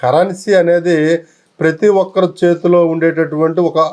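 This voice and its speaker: Male interview guest, voice a little high, polite explainer who repeats key phrases. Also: fairly even intonation, moderate pace, mellow. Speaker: guest currency collector showing old notes.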